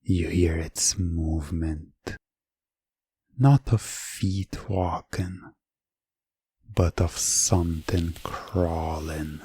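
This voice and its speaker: A man with a dark, husky voice narrates a second-person hypnotic story. His delivery is extremely slow and deliberate, with dramatic pauses between phrases, in the measured style of scripted ASMR. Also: low-pitched, steady tone, dry recording.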